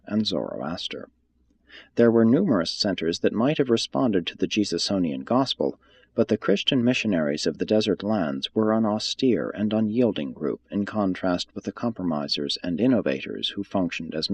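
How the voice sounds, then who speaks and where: A man reading a religious text aloud as scripted audiobook narration, in a measured, formal and solemn manner. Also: sits low, a little mellow, husky.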